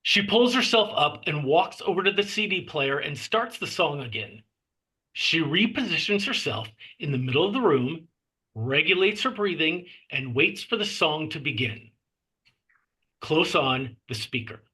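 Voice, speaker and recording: Bright, husky man narrating a screenplay. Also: fairly high pitch, sing-song, low-quality recording. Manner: measured, clipped phrasing of scene headings. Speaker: stage-direction narrator.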